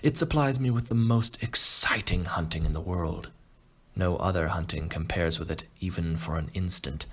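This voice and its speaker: A man playing a refined, boastful aging Russian aristocrat and hunter in an audiobook, speaking in a measured, pompous way. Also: sits low, breathy edge. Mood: smug. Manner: pompous, measured, self-satisfied. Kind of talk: audiobook character acting.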